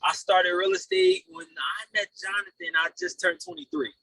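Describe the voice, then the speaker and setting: Late 20s guy on a podcast, kinda raspy and creaky, earnest rambly answers. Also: sits high, big pitch swings, normal speed, sharp tone, no room sound, poor audio. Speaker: podcast guest, real estate investor. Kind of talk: casual interview answers.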